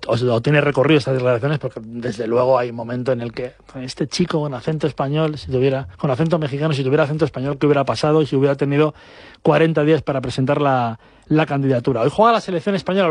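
A man's voice: on the high side, dry recording. Man hosting a sports talk show. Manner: rapid fluent presenting with hesitations.

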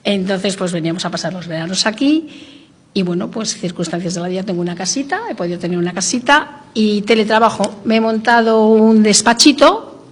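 A woman's voice: mid-range voice, brisk. Chatty, emphatic Spanish woman. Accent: Castilian Spanish. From Madrid, Spain. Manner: chatty, emphatic, repeats herself. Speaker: interviewee, village resident. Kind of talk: casual live interview chat.

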